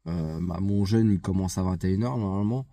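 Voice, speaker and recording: Congested man, low and dark, unhurried and halting, vlog chat. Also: no echo. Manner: hesitant, many fillers and restarts. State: congested with a head cold. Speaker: vlogger. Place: outside a gym.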